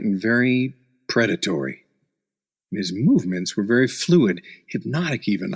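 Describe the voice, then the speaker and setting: A man plays a tough, hard-boiled newspaperman in a noir radio drama. His voice sits at a middle pitch. He talks in slangy, sardonic wisecracks. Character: wisecracking hard-boiled male beat reporter. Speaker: actor in an audio drama. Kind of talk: noir radio play narration and dialogue.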